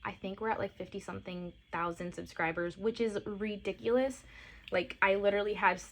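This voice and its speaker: A woman's voice: mid-range voice, steady tone, fairly quick, a bit bright, slight background noise. A woman in her late twenties talking to camera in a casual vlog, gushing and a little teary, with many fillers. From born in Costa Rica, grew up in Miami. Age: 20s. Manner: emotional, gushing, heavy on fillers. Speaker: lifestyle and mom vlogger.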